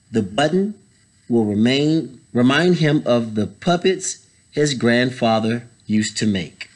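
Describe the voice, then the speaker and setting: Slow-paced man reading a picture book aloud. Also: medium pitch. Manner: unhurried, pausing, explaining to children. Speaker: story time reader, educator. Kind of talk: children's picture book read-aloud with casual asides. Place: indoors, school library.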